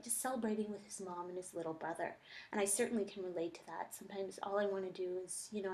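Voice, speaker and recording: Female teacher, mid-pitched, reflective, reading aloud and annotating her thoughts step by step. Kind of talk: think-aloud reading lesson, reading aloud and commenting.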